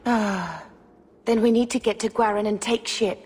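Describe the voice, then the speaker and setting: A woman's voice: mid-range voice, normal speed, darkish tone, husky, lightly acted. An actress playing an anxious young woman fleeing with her family, worried and questioning.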